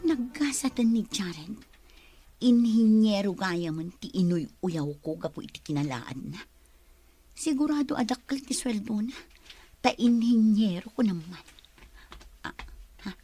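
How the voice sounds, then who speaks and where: A woman's voice: medium pitch, expressive, a little slow, long pauses, mellow, husky. An actress with a Filipino accent playing a distressed young pregnant woman in a radio drama. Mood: anxious, hushed.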